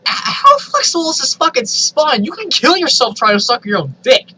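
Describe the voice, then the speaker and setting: Animated, bright-voiced man reading aloud. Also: extremely high pitch, moderate pace, breathy edge, rough recording. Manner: exasperated, sardonic, swears freely. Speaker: reader of online fan fiction. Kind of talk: dramatic reading with exasperated ad-libbed asides.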